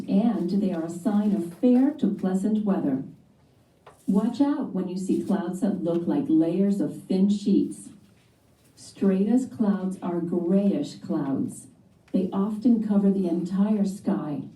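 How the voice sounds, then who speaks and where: A woman narrating a scripted educational read-aloud for children in a measured, explanatory way. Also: medium pitch, dark tone, echoey room, far from the mic, poor audio.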